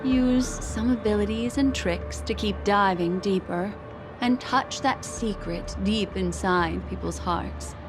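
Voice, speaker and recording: Actress as composed young woman detective over loud music. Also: medium pitch, natural voice. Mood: solemn, reflective. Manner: measured, deliberate, formal deduction. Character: calm young woman detective-like memory keeper reasoning aloud. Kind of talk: video game English dub acting.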